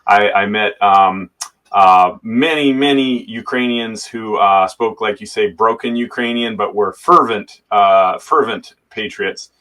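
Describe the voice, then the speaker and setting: Low-voiced American man, heard from afar. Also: a little slow, bright, forceful tone, recorded from a distance, poor audio. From United States. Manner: hesitant, many "uh"s and repeated words. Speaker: soldier and guest. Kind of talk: conversational interview.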